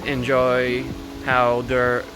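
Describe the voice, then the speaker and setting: A man from Poland speaking slowly and haltingly about his time abroad. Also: mid-range voice, completely flat delivery, noisy background. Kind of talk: casual testimonial.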